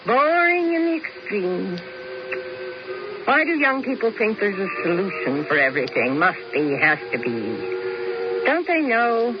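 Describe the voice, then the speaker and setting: A woman's voice: medium pitch, sing-song, unhurried, over music. An actress playing a jaded, aloof middle-aged woman in a radio drama, with a dry, sarcastic manner. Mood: bored, scornful. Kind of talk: old-time radio drama acting. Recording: muffled, noisy 1970s radio broadcast.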